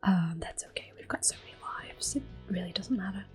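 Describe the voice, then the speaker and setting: A woman giving soft-spoken ASMR game commentary in a hushed, breathy whisper, gentle and unhurried, close to the microphone. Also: mid-range voice, faint music behind. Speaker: gameplay video host.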